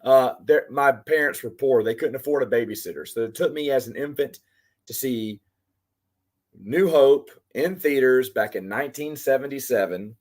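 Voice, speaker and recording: Guy in his 40s chatting on a live q&a, voice a bit hoarse, rambles on and on. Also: mid-range voice, moderate pace, darkish tone. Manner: rambling, chatty, talks to commenters by name. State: losing his voice. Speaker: livestream host. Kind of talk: casual live Q&A chat.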